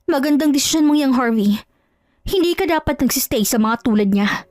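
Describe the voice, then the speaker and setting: Woman acting a smug, high-voiced scheming woman. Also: animated, a little fast, a little mellow, no room sound, clean studio sound. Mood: scornful. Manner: scornful, insinuating. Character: scheming female false friend, smug and accusing. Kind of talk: melodramatic audio drama acting.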